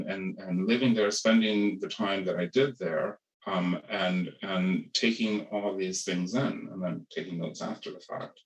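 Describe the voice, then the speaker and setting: A man speaking as a panelist in an academic webinar, thinking aloud with many restarts and repeated words. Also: fairly low pitch. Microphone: video call. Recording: roomy, distant video call audio.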